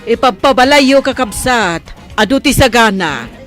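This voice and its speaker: A woman acts a doting, gracious mother with an Ilocano accent. Her fairly high voice moves slowly with wide, expressive swings, in radio drama style. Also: soft background music. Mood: gracious, proud.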